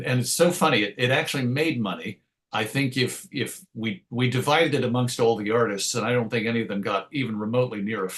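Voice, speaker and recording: A man telling stories as an interview guest in a reflective, wordy way, circling back to correct himself and adding wry asides. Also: medium pitch. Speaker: interview guest, comics writer and radio host. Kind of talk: conversational interview storytelling.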